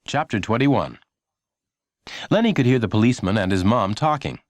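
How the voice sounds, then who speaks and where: A man's voice: fairly low pitch, expressive, moderate pace, no echo. A man reading a children's audiobook as a scripted story narrator.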